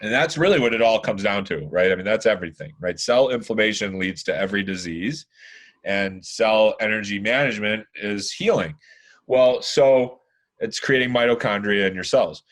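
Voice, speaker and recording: A man in his forties with a deep, hoarse voice, talking at length as a podcast guest, rambling with lots of fillers and restarts. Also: moderate pace, fairly bright tone. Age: 40s. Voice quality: deeper, hoarse voice. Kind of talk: casual chat.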